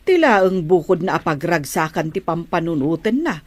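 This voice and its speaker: A woman playing a disapproving household confidante in a melodrama, with puzzled, drawn-out reactions. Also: fairly low pitch, very animated. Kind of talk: Ilocano melodrama acting.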